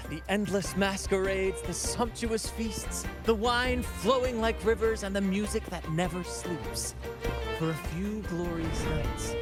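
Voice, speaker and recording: An actor playing a flamboyant, theatrical ship captain in a video game, with grand, courtly phrasing. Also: male voice, high-pitched. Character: flamboyant swashbuckling ship captain leading a merry theatrical troupe. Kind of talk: video game character acting.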